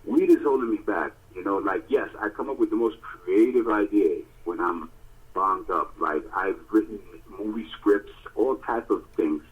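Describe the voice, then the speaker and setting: A man's voice: on the low side, moderate pace, husky. A man co-hosting a podcast over a phone call, telling stories in a casual, emphatic way with lots of fillers. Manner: animated, emphatic storytelling with many fillers. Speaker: podcast co-host. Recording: tinny phone line.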